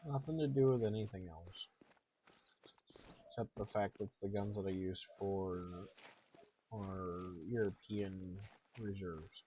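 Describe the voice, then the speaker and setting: A man's voice: low voice, steady tone, slow, pauses often, warm tone, slight background noise. A man giving casual, rambling live commentary as he streams a game.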